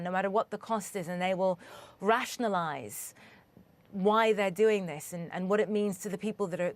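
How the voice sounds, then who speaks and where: Woman from Sudan, news correspondent, mid-pitched, measured and earnest, thinks aloud. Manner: measured, earnest, thoughtful with restarts. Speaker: international correspondent. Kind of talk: news interview answers.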